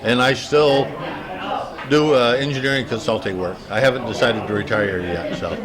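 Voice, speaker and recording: An older man answering interview questions in a casual, halting way as he searches his memory. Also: mid-range voice. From upstate New York, United States. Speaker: interviewee, retired plant's former engineer. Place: indoor social gathering. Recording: busy room with crowd chatter.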